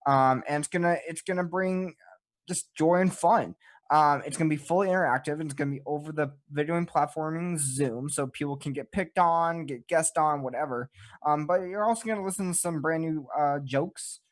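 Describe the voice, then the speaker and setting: A man with a slightly high voice gives a casual promotional explanation. He goes through points like a list, pausing hesitantly, and the sound is dry and close with little room on it. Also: no room sound. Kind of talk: casual promotional talk about a project.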